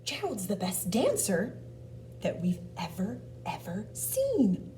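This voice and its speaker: A woman voicing admiring jungle animals in a storybook, loud, exclaiming and drawn-out. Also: medium pitch, huge pitch swings. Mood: amazed. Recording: distant mic with background noise.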